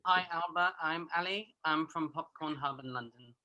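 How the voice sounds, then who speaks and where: Flustered young man with bright, sharp voice. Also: fairly high pitch, normal speed, muffled recording. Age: young adult. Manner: flustered, apologetic. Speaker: journalist. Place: indoor room, video call. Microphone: video call.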